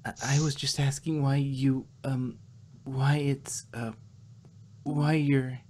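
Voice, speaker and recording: A man voicing a timid, puzzled female cartoon pony with a breathy, stammering delivery. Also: mid-range voice, flat delivery, slow pace, darkish tone. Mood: nervous, hesitant. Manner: hesitant, stammering.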